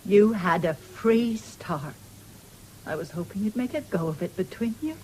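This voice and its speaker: A woman's voice: medium pitch, expressive, a little slow, darkish tone, close to an everyday voice. An actress playing a stern, caring older farm mother and grandmother in a radio play, plain-spoken and reproachful. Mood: stern, indignant. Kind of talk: 1970s BBC radio play acting. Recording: noisy old radio broadcast recording.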